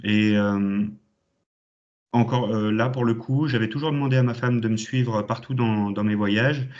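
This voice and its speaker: A man's voice: fairly low pitch, fairly even intonation, a little slow, a little mellow. A man in his thirties from the Champagne region of France, giving an enthusiastic alumni talk with many hesitations over a video call. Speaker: alumni guest speaker. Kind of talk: online conference talk. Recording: dull lo-fi online call audio.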